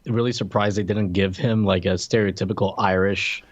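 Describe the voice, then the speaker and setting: A man's voice: lowish voice, fairly even intonation, a little fast, dry recording. A man in his thirties or forties from Puerto Rico talks casually as a podcast co-host, wry and a bit hesitant. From Puerto Rico, later United States. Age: 30s to 40s. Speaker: podcast co-host and film reviewer. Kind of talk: casual unscripted film discussion.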